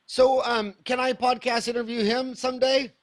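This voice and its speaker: A man's voice: very high voice, flat delivery, normal speed. A man in his fifties hosting an interview podcast, enthusiastic and rambling, with many restarts. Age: 50s. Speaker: podcast host. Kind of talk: casual interview.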